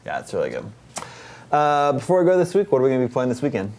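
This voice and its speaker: A man's voice: medium pitch, huge pitch swings, quick pace, darkish tone. A man hosting a casual guessing game on a podcast. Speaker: podcast host, quizmaster.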